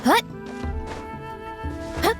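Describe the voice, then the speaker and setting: A woman's voice: drawn-out words, a bit bright, soft background music. A woman playing an earnest, eager young female hero in a video game, sweet and brave. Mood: eager, determined. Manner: earnest, reasoning aloud.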